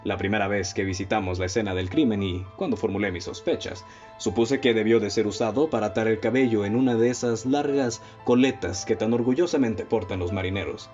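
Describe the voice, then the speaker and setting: Radio drama detective, male actor: lowish Mexican voice, composed, analytical, formal delivery, dull lo-fi sound. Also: a little mellow, natural voice. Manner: formal, measured, explanatory. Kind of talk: radio play acting. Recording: dull lo-fi audio with music bed.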